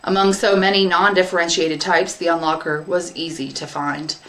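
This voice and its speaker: A woman's voice: on the low side, fairly even intonation, normal speed, fairly bright tone, poor audio. A woman English teacher reading a short story aloud as scripted narration.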